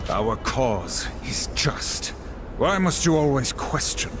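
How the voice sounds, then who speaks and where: Game horseman: grave, dutiful soldier, commanding and formal, mid-pitched, clear musical backing. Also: big pitch swings, slow, music in the background. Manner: solemn, commanding, formal, humourless. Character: stern, dutiful male horseman of the apocalypse. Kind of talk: video game cutscene acting.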